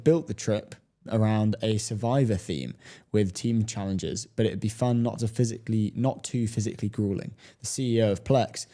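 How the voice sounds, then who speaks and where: A man co-hosting a casual talk show in a dry, low-key way, also reading an ad and articles. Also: dark tone. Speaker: co-host. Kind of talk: casual chat.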